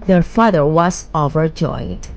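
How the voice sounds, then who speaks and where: A woman narrating a children's bedtime fairy tale in a measured, expressive storytelling manner. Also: low voice, highs cut off.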